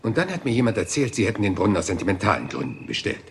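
Rumpled police inspector, patient, musing, low gravelly voice, radio crime play, faint hiss. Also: male voice, very animated, racing. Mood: calm. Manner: patient, musing, circling back to details. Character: rumpled dogged male police inspector, middle-aged. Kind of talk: German radio crime drama.

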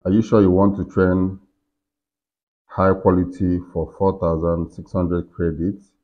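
Low-voiced man giving a tutorial. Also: flat delivery, a little slow. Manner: talking himself through each on-screen step. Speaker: tutorial host. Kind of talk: casual software walkthrough. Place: indoors in a furnished room. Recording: slightly dull, lo-fi sound.

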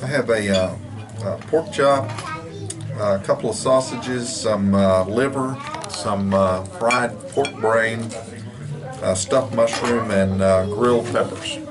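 A man at a dinner table names each thing on his plate, pausing with fillers between the items. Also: on the low side, over music. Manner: slow, pausing with many fillers as he lists things. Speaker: trip member at dinner. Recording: dinner chatter behind.

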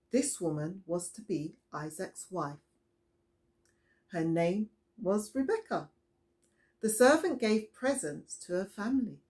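Slow, expressive middle-aged woman reading to children. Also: mid-range voice. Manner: deliberate, expressive storytelling with questions to young listeners. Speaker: children's storyteller. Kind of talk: read-aloud children's Bible story. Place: small indoor room with soft toys.

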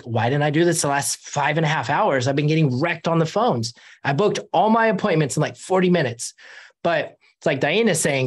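A young man hosting a video call, coaching a sales team in an animated, energetic way from a room at home. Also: fairly high pitch, breathy edge. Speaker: host.

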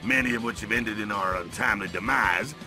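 Gravelly Southern alien thug. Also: male voice, fairly low pitch, fairly quick, sharp tone, faint music behind. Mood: smug, menacing. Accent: American South. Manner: folksy, wordy, drawling. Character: drawling, folksy male alien clone thug, cocky villain. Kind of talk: video game villain acting.

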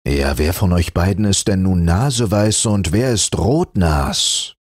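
A man voicing a puzzled father in a fairy tale, speaking in a musing, measured way. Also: sits low, lively intonation, no echo, studio quality. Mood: pondering. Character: puzzled middle-aged father, fair-minded.

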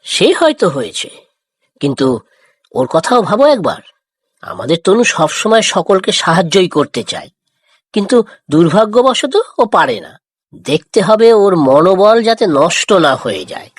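A woman voicing a kind, patient village farmer father in a moral cartoon, gently coaxing and reassuring. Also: on the low side, very animated, moderate pace, no room sound, clean studio sound. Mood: gently consoling. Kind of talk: Bengali moral cartoon dialogue.

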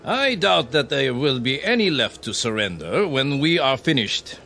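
Stern, imperious army captain. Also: male voice, medium pitch, very animated, a little slow, gravelly, sharp tone, a little hiss or hum, full character voice. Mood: cold, commanding. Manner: clipped, imperious, barking orders. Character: stern, merciless Japanese army captain, contemptuous and commanding. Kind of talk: radio drama acting.

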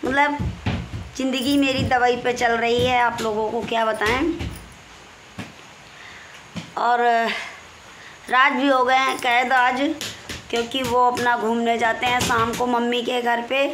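A hoarse woman in her forties with a Kanpur Hindi accent, voice fairly high and husky, talks on and on into a phone held close. She is in a small room at home and clears her throat often, with faint noise behind her. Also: level tone, slow, pauses often. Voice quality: husky, strained voice. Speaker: vlogger. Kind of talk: casual home vlog. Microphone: phone camera held close, selfie framing.

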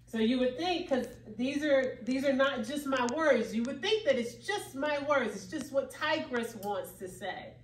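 A young adult woman delivers an emphatic keynote in a fairly high voice. Her phrasing is preacherly and builds to exclamations. She is caught by a camera far back in a large, echoey hall, with faint room noise behind her. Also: expressive, unhurried, hardly any pauses. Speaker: keynote speaker. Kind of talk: motivational keynote speech.

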